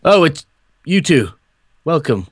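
A man's voice: fairly high pitch, big pitch swings, slow pace, breathy edge, dry recording, exaggerated voice. A man voicing a boastful, oblivious male monster hunter in a game roleplay, talking in a showy, rambling way.

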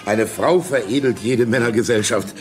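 An actor playing a suave, self-assured businessman in a radio play, genial and smooth-talking. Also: medium pitch, normal speed, natural voice. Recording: music behind the voice.

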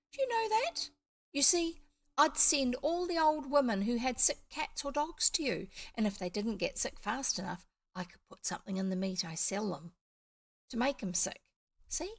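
A woman's voice: on the high side, voice pushed up. A woman voicing an uneducated, eager, scheming meat seller in a storybook, with folksy, emphatic, ungrammatical talk.

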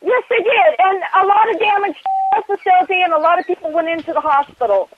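A woman's voice: very high-pitched, fast talker. A woman on a tinny phone line, talking as an indignant, insistent customer. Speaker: upset customer. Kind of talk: phone conversation.

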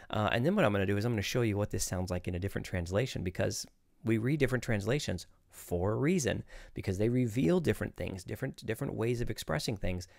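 A man in his forties with a low voice explains scripture informally, as a host speaking to camera. He is conversational and opinionated. The sound is dry and close, from a studio mic in a small room. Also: vocal fry, dry recording. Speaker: host, Bible teacher. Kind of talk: informal Bible teaching to camera. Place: small home studio, indoors. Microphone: close studio microphone on desk.

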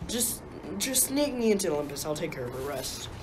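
A woman plays a defiant Titan who champions humans in a radio play. The delivery is wry and grumbling, with lively swings of pitch. Faint noise sits behind the voice. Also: a little fast. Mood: concerned, determined. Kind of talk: amateur radio play acting.

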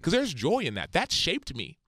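A man's voice: a little high, huge pitch swings, normal speed, bright, forceful tone, breathy edge, dry recording, clean studio sound. A man in his thirties from the United States, a podcast guest telling personal stories earnestly and acting out quoted dialogue. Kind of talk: casual conversation.

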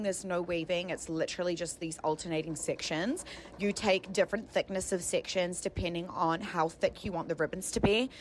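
Low-pitched young woman, casual tutorial voice-over. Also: a little hiss or hum. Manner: chatty, informal, explanatory. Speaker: hair colourist giving a tutorial.

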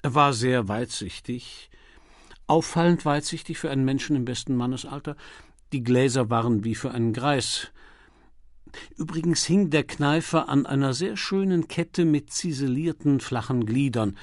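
A man voicing an earnest, methodical police detective who reports deliberately in an audiobook mystery. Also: medium pitch, dry recording, studio quality, natural voice. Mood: methodical, factual. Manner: factual, deliberate, unruffled.

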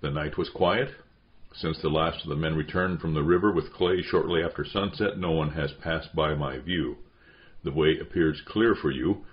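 A vigilant watchman , acted out by a male narrator, speaks formally in a deep voice. His measured, cautioning words come as audiobook reading with a dull, lo-fi sound.

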